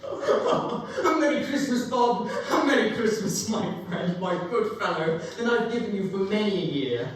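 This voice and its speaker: A young man playing an elderly reformed miser, generous and gentlemanly, in a theatrical dramatic reading. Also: high-pitched, lively intonation, unhurried, hardly any pauses. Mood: jubilant. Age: young adult. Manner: theatrical, exclamatory. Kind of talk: dramatic stage reading of Victorian story. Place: museum room, live performance. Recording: roomy echoing hall, distant mic, faint noise.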